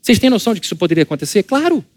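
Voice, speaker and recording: Lively Brazilian pastor preaching. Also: male voice, high-pitched, racing. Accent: Brazilian Portuguese. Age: adult, married. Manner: lively and conversational, rhetorical questions to the congregation. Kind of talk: church sermon.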